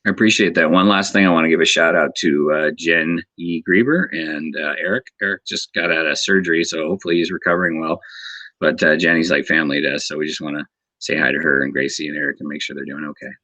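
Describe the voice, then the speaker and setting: A man being interviewed as a martial arts instructor, talking earnestly and haltingly, often repeating words as he searches for them. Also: rumbling low, fairly even intonation, pushed and bright, no room sound. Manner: earnest and grateful. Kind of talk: casual interview talk.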